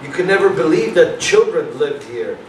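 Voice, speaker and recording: A man playing a polite, inquisitive young police detective in a staged play reading, with a measured, probing manner. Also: fairly high pitch, big pitch swings. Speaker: stage actor. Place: small indoor venue with audience. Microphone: far camera. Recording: echoey room, distant mic, faint noise.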